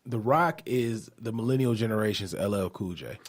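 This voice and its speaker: A man's voice: lowish voice, moderate pace, noisy background. A man trading opinions as a podcast panelist, casual, insistent and jokey as he argues his point.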